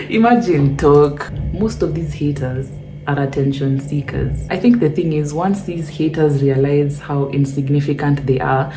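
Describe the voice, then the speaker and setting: A woman's voice: low voice, low-quality recording. A young woman with a lisp, chatting playfully and sassily to camera as she answers fan questions. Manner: playful, sassy, mixes Swahili slang into English. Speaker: vlogger reading fan questions. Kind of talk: casual chat to camera.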